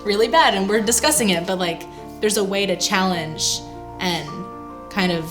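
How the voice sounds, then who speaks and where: A young woman talks casually in a discussion. Her voice sits at a middle pitch. Music is clearly audible behind her. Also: normal speed.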